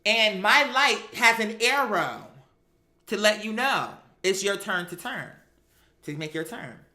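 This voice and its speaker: A woman with a bright, forceful voice recounts a personal experience in a roomy, echoing space. She is sassy and emphatic, peppers her telling with slang and swearing, and slips into acting out what people said. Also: medium pitch, animated, a little slow. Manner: sassy, emphatic, acts out quoted dialogue; profane, slangy. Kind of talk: animated personal storytelling.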